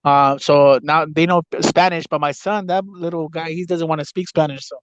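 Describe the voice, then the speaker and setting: A man with a slightly high voice chats casually on a talk panel. His phrasing is hesitant and halting, and the sound is dry and a bit muffled. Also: fairly even intonation, smooth, no room sound. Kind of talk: casual chat.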